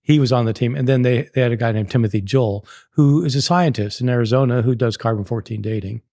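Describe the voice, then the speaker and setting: A man's voice: mid-range voice, fast, warm tone, no echo. Older American man, guest scholar, anecdotal storyteller, dead-dry sound. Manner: chatty and anecdotal, laughs often. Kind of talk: storytelling in a podcast interview.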